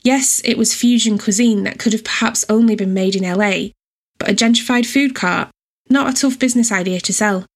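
A woman reads a scripted personal essay in a measured, descriptive storytelling manner. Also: a little high. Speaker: writer and editor reading own essay.

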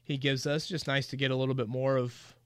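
A man's voice: mid-range voice, flat delivery, a little fast, clean tone, no echo, studio quality. A man hosting a casual sports podcast, talking in a chatty, rambling way with fillers and restarts. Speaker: podcast host and team reporter.

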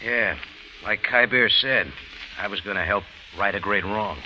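An American actor playing a tough, sardonic private investigator in old radio drama style, with clipped hard-boiled delivery. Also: male voice, lowish voice, measured pace, pushed and bright. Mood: probing. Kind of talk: 1940s-50s radio drama acting. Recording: muffled old radio recording, faint noise.

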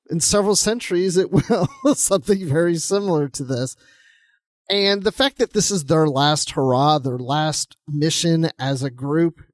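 A man with a fairly high voice talks casually as a podcast co-host. He thinks aloud in a conversational way as he gives his views on a book. The sound is dry, with no room echo.

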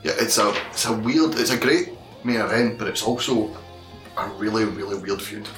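A man's voice: on the low side, normal speed, a bit bright, roomy sound, soft background music. A man co-hosting a podcast, chatting casually and laughing often. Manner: laughs often while talking. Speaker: podcast co-host. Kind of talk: casual chat.

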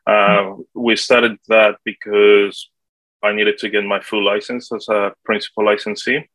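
Colombian guy in his 40s, low flat voice, lots of ums, dry close sound. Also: no room sound, rough recording. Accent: Colombian Spanish. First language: Spanish. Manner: halting, with many fillers. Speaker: guest, property manager. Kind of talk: casual interview answers.

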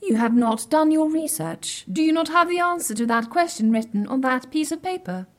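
A high, female-voiced superintelligent computer from an audio comedy. It speaks in stilted, exact, formal phrases with a deadpan delivery. It is pedantic and literal-minded, and quietly smug about its own intellect. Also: moderate pace. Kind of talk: scripted audio comedy drama.

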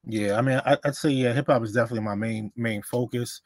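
A man born in Honduras and raised in the Bronx, a hip-hop journalist reminiscing in relaxed casual conversation. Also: mid-range voice, fast, dry recording. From born in Honduras, raised in the Bronx, New York. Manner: relaxed, reminiscing, with many fillers.

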